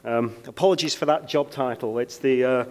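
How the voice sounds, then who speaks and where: A man's voice: medium pitch, fairly quick. A British man giving a conference keynote speech on a stage, talking with frequent ums and uhs and a self-deprecating touch. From United Kingdom. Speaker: keynote speaker. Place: conference stage under lights.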